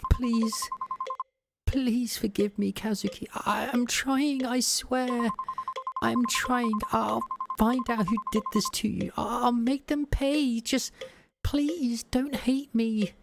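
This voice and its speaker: A man voicing a terrified, grieving young person who stammers and begs for forgiveness in a high, tearful voice. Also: fairly even intonation, takes it slowly, creaky, loud music behind the voice, heavily acted. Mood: desperate pleading.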